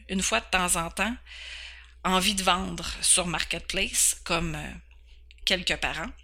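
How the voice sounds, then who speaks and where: Woman, 40s, Québécois accent; podcast host, earnest and candid, slight stumbles, crisp bright tone. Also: fairly low pitch. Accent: Québécois French. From Quebec, Canada. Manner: earnest, candid, occasionally stumbling over words. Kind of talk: personal introduction.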